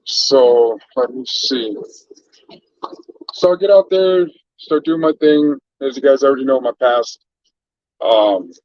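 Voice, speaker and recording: A man's voice: a little high, darkish tone, no echo, highs cut off. A man from Canada hosting a casual livestream chat, laid-back and rambling as he greets viewers by name. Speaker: livestream host.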